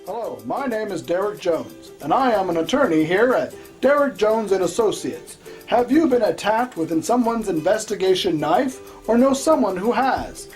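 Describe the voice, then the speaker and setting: A woman's voice: medium pitch, lively intonation, moderate pace, darkish tone, soft background music, lightly acted. Woman as a male attorney in parody ad. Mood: earnest salesmanship. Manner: salesman-like, rhythmic listing. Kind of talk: parody commercial pitch.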